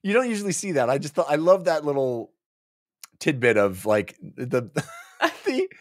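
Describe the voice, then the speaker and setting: A man's voice: fairly high pitch, big pitch swings, a little slow, no room sound, clean studio sound. A man in his forties co-hosting a book club podcast, talking casually with jokey, hesitant asides into a desk mic. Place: small home office, indoors. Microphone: close desk microphone on boom arm.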